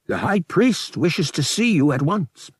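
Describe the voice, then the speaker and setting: A man's voice: on the high side, sing-song, measured pace, breathy edge, no echo, studio quality, voice pushed up, heavily acted. A man voicing a proud alien priest and guide in an audiobook, speaking in a formal, announcing manner. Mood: formal pride. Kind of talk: audiobook character voice.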